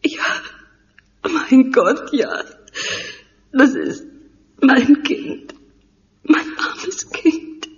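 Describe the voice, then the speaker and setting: In a radio play, a heartbroken mother with a high voice, crying and pleading. Her words come slowly and dramatically. The sound is dull and heavily reverberant. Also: sing-song, exaggerated voice. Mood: broken, mournful. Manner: anguished, pleading, dramatic delivery. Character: grieving mother identifying her murdered teenage daughter. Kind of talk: German audio drama acting.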